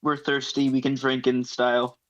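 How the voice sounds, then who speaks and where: A man's voice: mid-range voice, little pitch movement, normal speed, fairly bright tone, slight background noise. A man chatting casually as a player in a tabletop game, on dull, lo-fi audio.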